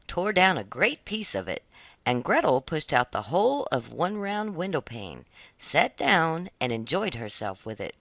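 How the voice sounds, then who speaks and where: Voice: medium pitch, creaky, a bit bright, no room sound. A narrator reading a scripted fairy tale aloud for children, in audiobook style.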